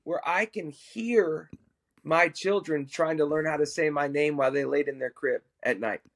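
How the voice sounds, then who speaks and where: A middle-aged man, a worship musician and podcast guest, telling a personal story in an animated, self-amused way. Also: on the high side, normal speed, creaky.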